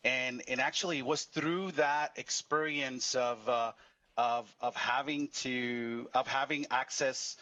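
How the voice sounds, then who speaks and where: A man's voice: mid-range voice, sharp tone, low-quality recording. A man from Puerto Rico, a chemistry professor, telling his story earnestly over a video call, often restarting his sentences. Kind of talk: personal story on a panel.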